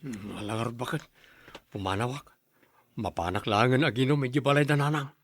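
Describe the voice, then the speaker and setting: Man playing drunken husband, Ilocano accent, stubborn, pleading, medium pitch, drama acting. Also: moderate pace, dry recording, clean studio sound, lightly acted. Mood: grumbling, stubborn.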